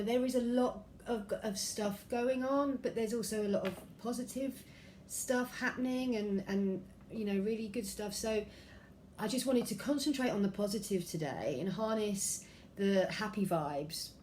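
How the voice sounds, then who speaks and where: A woman with a head cold, her voice a little croaky, giving a chatty angel card reading at home with many ums and throat clearings. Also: mid-range voice, darkish tone, slight background noise, rough recording. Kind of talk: casual spiritual vlog talk. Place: room at home by a window.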